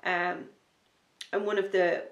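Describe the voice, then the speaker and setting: A woman hosting a knitting podcast, chatting in a rambling, enthusiastic way, a little sniffly from a starting cold, sometimes dropping to a soft near-whisper. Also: lowish voice, flat delivery, slow pace, echoey room, lo-fi sound. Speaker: knitting podcast host and designer. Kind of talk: casual chat to camera.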